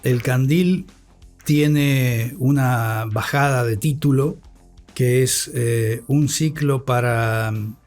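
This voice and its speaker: An Argentine man speaking thoughtfully as an interview guest and radio scholar, with deliberate delivery and a formal, learned vocabulary. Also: medium pitch, steady tone, darkish tone, soft background music.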